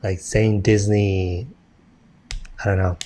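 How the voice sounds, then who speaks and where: A man from Colombia with a Colombian Spanish accent, a podcast panelist talking casually with halting restarts. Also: lowish voice, level tone, dark tone, a little hiss or hum.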